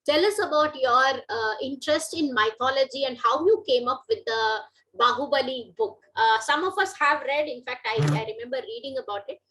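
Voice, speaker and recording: Higher-pitched Indian woman interviewing. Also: pushed and bright, poor audio. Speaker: interviewer, magazine journalist. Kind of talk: informal interview hosting.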